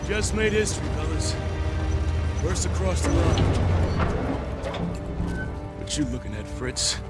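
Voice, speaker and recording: An actor playing an American army soldier in a dramatic war game scene. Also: male voice, a little high, big pitch swings, slow pace. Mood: triumphant. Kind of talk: dramatic video game acting. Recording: loud music and vehicle noise behind.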